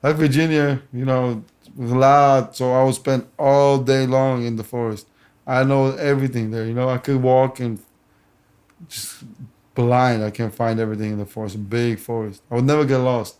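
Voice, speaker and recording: Boxer guest, a man from Germany, plain and halting, laughs easily. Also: mid-range voice, steady tone, a little mellow, smooth. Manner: simple phrasing, frequent restarts, laughs easily. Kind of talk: casual interview.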